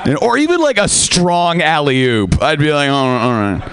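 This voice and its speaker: A man's voice: fairly high pitch, big pitch swings, moderate pace, background noise. A young male comic works a club crowd into a stage mic. His delivery is laid-back and sardonic, with plenty of trailing "yeah"s and "all right"s between the bits. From Seattle, USA. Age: young adult. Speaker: stand-up comedian. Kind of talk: stand-up comedy set. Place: comedy club stage, live audience.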